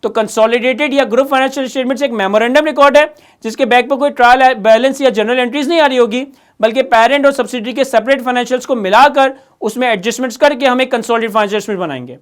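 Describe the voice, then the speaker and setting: High-pitched, fast-talking Pakistani man lecturing. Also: clean tone. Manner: emphatic, repetitive, step-by-step explaining. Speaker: accounting lecturer. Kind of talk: instructional lecture.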